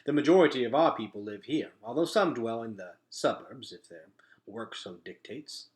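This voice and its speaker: A man's voice: mid-range voice, animated, normal speed, darkish tone, lightly acted. A man voicing a dignified, courteous male elder in a storybook, with formal, old-fashioned phrasing. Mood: stately, informative.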